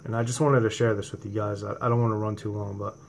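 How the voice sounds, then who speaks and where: A man in his forties with a New England accent, preaching informally to his viewers from home and talking into a webcam, swinging from impassioned, rising emphasis to a quieter conversational tone. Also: fairly low pitch, flat delivery, quick pace, slight background noise. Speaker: religious video host. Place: home room indoors at night. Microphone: webcam or laptop camera from below.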